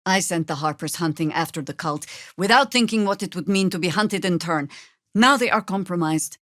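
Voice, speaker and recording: An actress playing a weathered older female druid in a video game, wry and commanding, with a dry, deliberate delivery. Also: on the low side, a bit bright, no room sound, close to an everyday voice. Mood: grave, reflective. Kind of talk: dramatic game dialogue.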